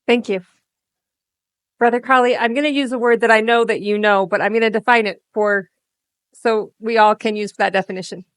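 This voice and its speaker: Smooth-voiced woman moderating a panel. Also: fairly high pitch, steady tone, no echo. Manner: friendly, brisk, poses questions clearly.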